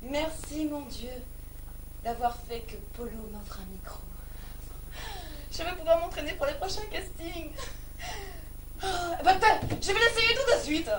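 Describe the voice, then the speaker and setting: Actress doing a naive excitable lady in a one-woman comedy show, all indignant and dramatic, pitch all over the place, mic far off, noisy recording. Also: measured pace, long pauses. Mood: excited, grateful. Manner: exclamatory, melodramatic, repeats words, talks to unseen listeners. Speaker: comic stage performer. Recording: distant mic, noisy live room recording.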